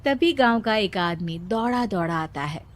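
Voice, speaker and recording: A woman's voice: medium pitch, expressive, unhurried, dry recording. A woman narrator reading a scripted moral story.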